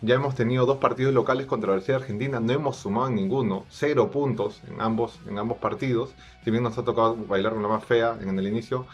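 A man with a Peruvian accent giving informal football analysis to camera, measured and a little hesitant, repeating phrases. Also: medium pitch, fairly even intonation, slightly rough. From Peru. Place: plain indoor room. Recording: dull lo-fi sound. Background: music.

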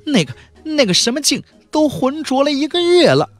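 A man's voice: extremely high pitch, very animated, normal speed, soft background music, pitched above the performer's own voice. A man voicing a restless, fretful, cowardly male servant in a fantasy audiobook. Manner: fretful, agitated.

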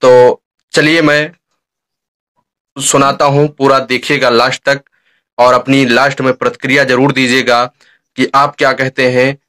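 A man's voice: mid-range voice, flat delivery, unhurried, long pauses, fairly bright tone. A young man presenting a vlog introduction to camera as host, recorded indoors on a phone. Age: young adult. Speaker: YouTube vlogger, host. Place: dark room indoors.

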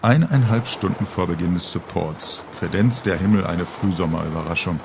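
A man's voice: deep voice, moderate pace, background noise. A middle-aged man reading a scripted humorous story in a wry, colourful storytelling manner. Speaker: author reading own story. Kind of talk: scripted humorous narration, audio-book reading.

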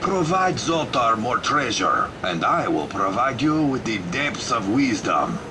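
A man voicing a grandiose fortune-teller machine that speaks in slow, pompous proclamations. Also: medium pitch, big pitch swings. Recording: recorded outdoors with loud street noise.

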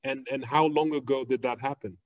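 A man's voice: medium pitch, a little fast, dry recording. Young man hosting a podcast over webcam. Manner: relaxed, conversational, with "you know" fillers. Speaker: podcast host. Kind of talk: video call interview. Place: indoor room with a plain wall. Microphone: video call webcam. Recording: dull dry webcam audio.

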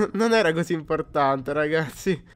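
A man's voice: on the high side, lively intonation, measured pace. A man voicing a troubled, defensive cartoon teenage boy in an amateur fan dub, swinging from forced cheer to desperate outbursts. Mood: nervous, forced cheer.

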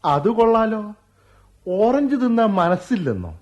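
A man's voice: high-pitched, very animated, moderate pace, a little mellow. An actor playing a playful, stubborn young husband who teases and coaxes his wife. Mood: coaxing. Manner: teasing, cajoling, mock-threatening. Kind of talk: radio play acting.

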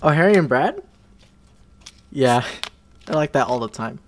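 A man voicing a shy, smitten young college student in a roleplay audio, halting and flustered as he talks. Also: mid-range voice, expressive, slight background noise, natural voice. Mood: casual, slightly nervous. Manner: halting, flustered stammering with nervous laughs.

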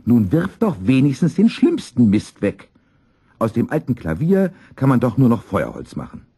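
Man putting on a high, scolding, nagging wife. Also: huge pitch swings, normal speed, mellow, breathy edge, heavily acted.

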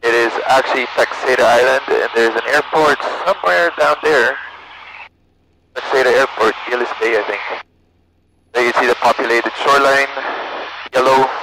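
A man's voice: medium pitch, normal speed, noisy background. A young man, a student pilot, narrating his flight casually and making radio calls over an aviation headset. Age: young adult. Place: small propeller plane cockpit in flight. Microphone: aviation headset boom mic. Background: music.

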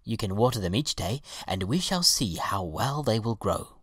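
A woman's voice: extremely low pitch, expressive, normal speed, breathy edge, dry recording, clean studio sound, exaggerated voice. A woman voicing a kindly, encouraging schoolteacher in a children's story, reassuring and instructive.

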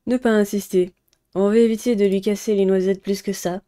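A woman's voice: mid-range voice, steady tone, brisk, mellow. A young adult woman giving casual playthrough commentary and reading game dialogue aloud as a streamer.

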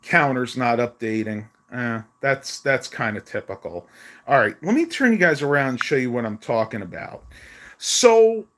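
A man's voice: medium pitch, expressive, moderate pace, a bit bright. A man, chatting casually on a home live stream while losing his voice, rambling with many ums, filmed on a phone at arm's length in a small bedroom. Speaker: vlogger. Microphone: phone held at arm's length.

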